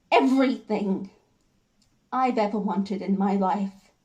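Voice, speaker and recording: Slow, impassioned wife played by a young woman. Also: fairly high pitch, darkish tone, voice pushed up. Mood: hurt, accusing. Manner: emphatic, drawn-out, impassioned. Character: hurt, defiant, fiercely loving wife in a stage play. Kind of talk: theatrical dramatic monologue.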